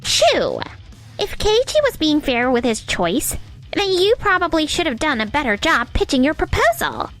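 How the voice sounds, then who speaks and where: A woman playing a snippy, squeaky spoiled young woman. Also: big pitch swings, moderate pace, fairly bright tone, exaggerated voice. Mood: scornful. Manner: snippy and dramatic.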